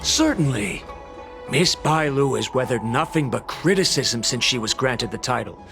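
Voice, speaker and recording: An actor playing a blunt, formal dragon-kin official in a video game, admonishing in a declamatory way over music. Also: male voice, mid-range voice, lively intonation, normal speed, creaky. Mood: stern, admonishing.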